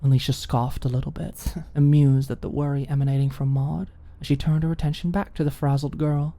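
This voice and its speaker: A woman's voice: low voice, little pitch movement, a little fast, dark tone. A woman reading scripted story narration for an ASMR audiobook, recorded close to the mic.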